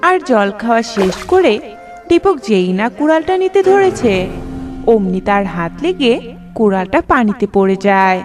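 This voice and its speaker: A woman's voice: on the high side, expressive, unhurried. A woman narrating a scripted storybook tale for a cartoon. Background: music.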